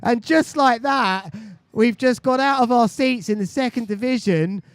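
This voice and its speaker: A man's voice: very high-pitched, measured pace, smooth. A man commentating on a live sports stream in a chatty, conversational way. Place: indoor live stream booth by a beach.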